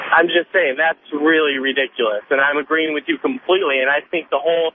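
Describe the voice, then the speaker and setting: A young American man of nineteen rambles casually as a radio caller, cocky and emphatic. His fairly high voice comes through a thin phone line with light noise. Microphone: telephone.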